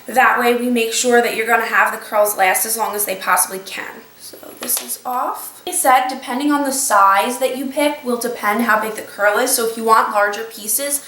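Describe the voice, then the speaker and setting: A woman's voice: a little high, normal speed, few pauses, sharp tone, distant mic, slight background noise. Chatty young woman with a slight cold. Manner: chatty, explains step by step with many fillers. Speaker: beauty vlogger. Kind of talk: casual talk-through tutorial. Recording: echoey room, voice a bit off-mic.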